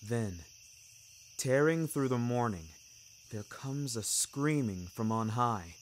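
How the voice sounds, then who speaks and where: A man narrating a scripted first-person fiction podcast in a measured, literary, deliberate way. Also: medium pitch, animated, a little hiss or hum.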